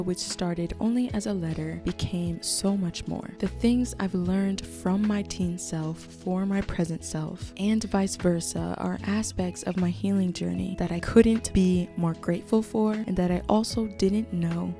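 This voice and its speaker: Gentle woman doing a soft earnest vlog voiceover, warm mellow voice, quiet music under it. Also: fairly low pitch. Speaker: vlogger. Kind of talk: scripted reflective voice-over narration.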